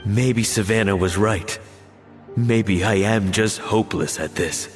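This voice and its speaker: A man's voice: fairly low pitch, unhurried, big-room sound, soft background music, lightly acted. An actor voicing a discouraged young male cook, hesitant and downcast. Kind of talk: video game voice acting.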